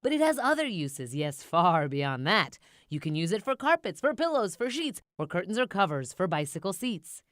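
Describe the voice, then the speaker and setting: A woman's voice: on the low side, very animated, moderate pace, a bit bright, dry recording, clean studio sound. A woman voicing a greedy, boastful old male recluse from a storybook, with a brash, dismissive salesman's manner. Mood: defensive, boastful. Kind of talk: storybook character voice.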